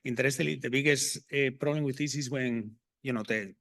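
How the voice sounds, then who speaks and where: A man's voice: mid-range voice, a little fast, gravelly, a bit bright, dry recording, studio quality. A middle-aged man from Spain with a Spanish accent, giving an enthusiastic, rambling conference talk as a botanic garden horticulturist. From Spain, Asturias. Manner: enthusiastic, rambling, self-correcting.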